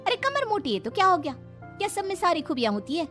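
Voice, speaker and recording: Brief: actress as supportive, frank young neighbour woman, very high voice, cartoon moral tale, music behind. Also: sing-song, fast, a bit bright, heavily acted. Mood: encouraging. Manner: chatty, frank, encouraging.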